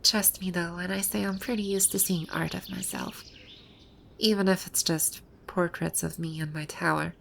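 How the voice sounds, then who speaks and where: Woman acting proud game boss lady, low voice, gravelly fry, smug and teasing, intimate soft-spoken ASMR roleplay. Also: steady tone, moderate pace, a little hiss or hum. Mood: shy, vulnerable. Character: teasing, proud video game villainess boss brought to life, secretly vulnerable. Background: birdsong and outdoor ambience sound effects.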